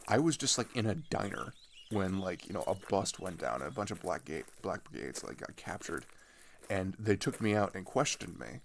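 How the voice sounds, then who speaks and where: A young man playing a chatty, excitable teenage runaway in an ASMR audio roleplay, rambling and halting in casual slang as he tells road stories. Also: sits low, creaky, a little hiss or hum, full character voice. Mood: candid, animated. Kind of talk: improvised-sounding ASMR audio roleplay monologue.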